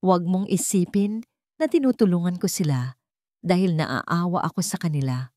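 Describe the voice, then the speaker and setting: A woman's voice: lowish voice, normal speed, warm tone, no echo, lightly acted. Woman doing a rich young ceo woman, earnest, teary, close dry voice. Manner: earnest, tearful.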